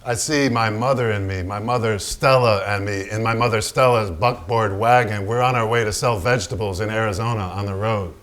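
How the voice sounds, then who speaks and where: An actor in a staged reading playing a brash, vulgar and troubled celebrated painter, with a raw, profane, halting delivery. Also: male voice, lowish voice, fairly even intonation, a bit bright, close to an everyday voice. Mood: haunted, fearful. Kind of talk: theatrical staged reading, dramatic monologue.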